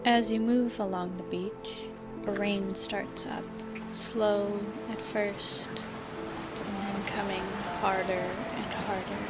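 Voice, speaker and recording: A woman hosts a scripted meditation podcast, guiding the listener through fantasy imagery. Her voice sits at a medium pitch and moves very slowly, with soothing, measured phrasing and long pauses. Loud music plays behind her throughout. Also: level tone. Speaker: podcast host and meditation narrator. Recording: loud music bed under the voice. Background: loud background music.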